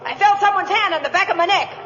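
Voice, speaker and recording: A woman's voice: very high voice, quick pace, a bit bright, a faint crowd. A woman playing a brash, domineering wealthy American socialite, scolding in vintage radio style. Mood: alarmed. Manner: brassy, scolding. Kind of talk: 1940s radio acting. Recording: dull vintage radio recording.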